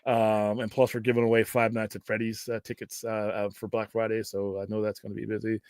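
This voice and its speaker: An American man with a gruff voice hosts a casual livestream, talking in a rambling, off-the-cuff way and swearing casually. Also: fairly low pitch, flat delivery, fairly quick, darkish tone, dry recording, clean studio sound. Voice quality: gruff, self-described Cookie Monster voice. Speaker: host of a pop culture livestream show. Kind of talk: casual livestream chat, question and answer.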